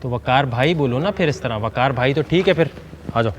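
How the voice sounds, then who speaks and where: A man's voice: mid-range voice, a little fast, slight background noise. A man hosting a dare reality show, stern and mocking toward the contestants. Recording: music loud behind the voice.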